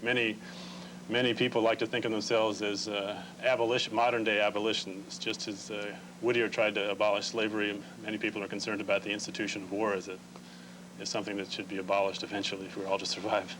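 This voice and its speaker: Male interview guest, American, lower-pitched, measured explainer; clearly noisy, humming recording. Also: flat delivery. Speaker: Quaker relief organization representative, interview guest.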